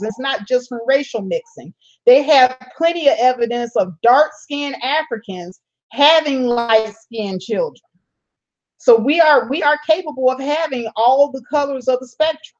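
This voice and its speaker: A woman's voice: high-pitched, normal speed, darkish tone, dull audio. A woman hosting a casual live stream. She reads viewer comments aloud, answers them, and laughs often. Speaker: channel host reading live chat.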